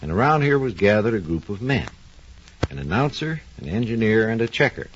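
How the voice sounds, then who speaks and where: A man's voice: lowish voice, very animated, fairly quick, slight background noise. A man playing a knowledgeable doctor who recalls radio's early days, in vivid, folksy scripted 1940s radio narration. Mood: reminiscing, animated. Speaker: expert storyteller. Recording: dull, lo-fi 1940s radio recording.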